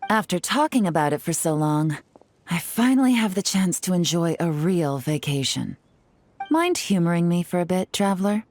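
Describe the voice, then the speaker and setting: A woman's voice: fairly low pitch, animated, moderate pace, darkish tone, close to an everyday voice. A woman playing a poised, teasing secret agent. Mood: relaxed. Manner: smooth, composed, lightly teasing. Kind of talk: game cutscene dub.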